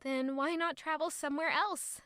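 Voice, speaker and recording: Woman acting bright, high-voiced young noble lady. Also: steady tone, normal speed, no echo, clean studio sound. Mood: earnest. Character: sweet young noble lady in love, gently bold. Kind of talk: romantic audio drama acting.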